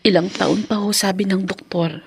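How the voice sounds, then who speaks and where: A woman playing a devoted, weary young mother with a gentle manner in a Filipino radio drama. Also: mid-range voice, fairly even intonation, moderate pace, vocal fry, husky, dry recording. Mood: weary, resolute. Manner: gentle, soothing.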